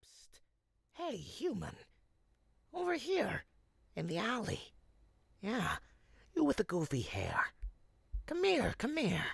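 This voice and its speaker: Raspy, sly old goblin peddler. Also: male voice, high voice, huge pitch swings, slow, long pauses, no room sound, clean studio sound, pitched above the performer's own voice, exaggerated voice. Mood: furtive, coaxing. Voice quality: raspy, creaky. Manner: smooth-talking, wheedling, folksy street hawker. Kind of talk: character roleplay audio.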